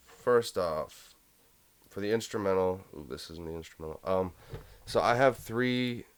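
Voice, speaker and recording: Low-voiced young man, relaxed tutorial. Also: smooth. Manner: relaxed, rambling, with fillers. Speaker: music production tutor. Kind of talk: casual screen-recorded tutorial.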